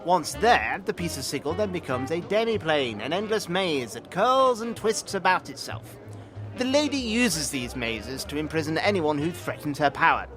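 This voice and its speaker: A man's voice: a little high, animated, moderate pace, close to an everyday voice. A man playing a nervous, bookish records clerk in an audio drama, speaking formally and apologetically with a fussy stammer. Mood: scholarly. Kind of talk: acted audio drama dialogue. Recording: music under the voice.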